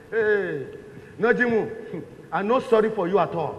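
An actor as a jovial Nigerian layabout man in a stage comedy. His voice is very high, loud and full of boastful teasing. It rings in an echoey hall with muffled sound. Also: expressive, measured pace. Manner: loud, teasing, breaks into laughter. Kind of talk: stage play comedy acting in Pidgin and Yoruba. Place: indoor theatre stage, distant camera.

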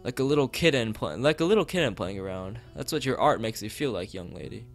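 A man's voice: medium pitch, lively intonation, quick pace, natural voice. A man voicing a blunt, doubtful art critic in a visual novel, with a questioning manner. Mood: patronizing. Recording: music behind the voice.

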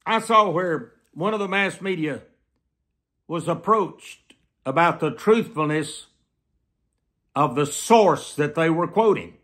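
An American man in his seventies preaching to camera in an emphatic, deliberate way, repeating phrases for weight. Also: a little high, expressive, fairly bright tone. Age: 70s. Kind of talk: sermon delivered to camera. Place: small plain room indoors.

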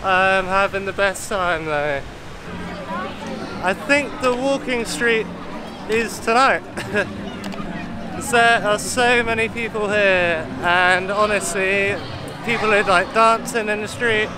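A man's voice: high voice, slow, crowd noise, noisy background. A man in his thirties chatting casually and enthusiastically to a handheld camera as a travel vlogger out on busy night streets. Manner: enthusiastic, informal. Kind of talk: casual vlog chat to camera. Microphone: camera held at arm's length.

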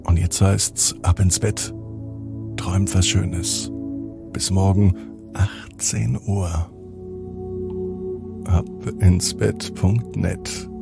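A man's voice: deep voice, fairly even intonation, very slow, gravelly, pauses often, loud music behind the voice. A man narrating a bedtime story for children as the host and storyteller.

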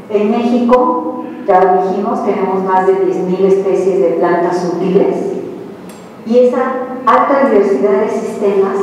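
A middle-aged Mexican woman giving a lecture as a researcher, speaking deliberately and asking the audience rhetorical questions. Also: medium pitch, a little mellow, highs cut off, a little hiss or hum. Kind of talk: live conference talk. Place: large hall with a seated audience. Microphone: handheld mic, heard from far off. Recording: echoey hall, distant camera mic.

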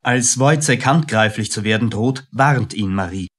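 A man's voice: mid-range voice, moderate pace, fairly bright tone. A man reading scripted narration as the narrator of a radio play.

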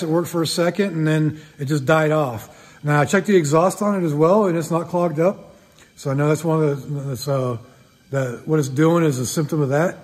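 Rambling middle-aged man in an echoey garage. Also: on the high side. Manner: rambling, thinks aloud with frequent restarts. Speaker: channel host. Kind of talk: casual workshop repair vlog. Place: large metal garage workshop. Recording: echoey workshop with a long reverb and faint noise.

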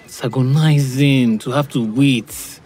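A man's voice: mid-range voice, steady tone, a little slow, over music, lightly acted. An actor playing a modest, self-doubting young man in an audio drama. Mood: hesitant.

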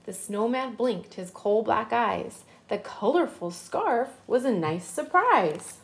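A woman in her thirties giving an expressive children's picture-book read-aloud, drawing out words for emphasis. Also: a little high, gravelly, a little hiss or hum. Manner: expressive, slow, drawn-out emphasis. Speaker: children's storybook reader.

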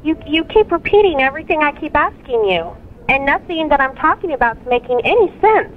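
A woman's voice: high-pitched, expressive, fairly quick. A woman telemarketer on a sales call, questioning insistently with an exasperated manner over a phone line. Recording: tinny phone line, faint noise.

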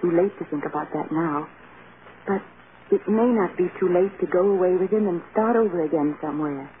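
An actress playing a devoted, anguished film star wife in an earnest, emotional 1930s radio drama style. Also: female voice, on the low side, fairly quick, close to an everyday voice. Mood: sad, resolved. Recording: scratchy, tinny 1930s radio broadcast.

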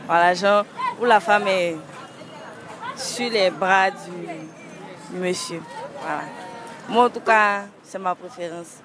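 A young woman answering a street interviewer's mic at a roadside, relaxed and hesitant. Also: on the low side, slow pace, long pauses, crowd noise. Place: outdoor roadside by parked cars. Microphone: handheld mic held by interviewer. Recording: outdoor traffic noise. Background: street traffic.

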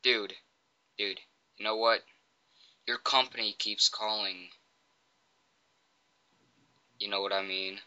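Sharp, clear-voiced man making a prank call. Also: on the low side, fairly even intonation, measured pace, no room sound, highs cut off. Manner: informal, provocative, feigning cluelessness. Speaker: prank caller. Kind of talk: casual prank phone call.

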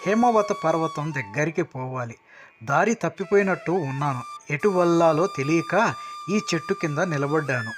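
Man acting as a high-pitched, polite lost Brahmin. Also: lively intonation, moderate pace, lightly acted. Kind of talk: storybook character dialogue. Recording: music behind the voice.

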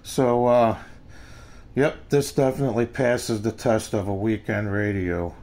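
An older man with an American accent gives a casual, unscripted product review in a halting, plain-spoken way. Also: lowish voice, fairly even intonation, darkish tone. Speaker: gadget reviewer. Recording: noisy amateur home recording.

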